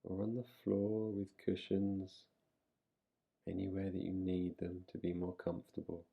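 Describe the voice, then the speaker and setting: Very deep, slow-speaking male meditation guide. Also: completely flat delivery, vocal fry, breathy edge, muffled recording. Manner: soothing, unhurried, with long pauses between short phrases. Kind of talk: guided relaxation meditation, yoga nidra.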